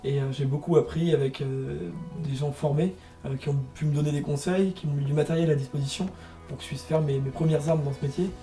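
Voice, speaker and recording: A young man of eighteen speaks in a fairly high but very soft-toned, dark voice. He gives a testimonial in an echoey space, with music behind him. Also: fairly even intonation, fairly quick, recorded from a distance. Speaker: work-study trainee. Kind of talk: interview testimonial.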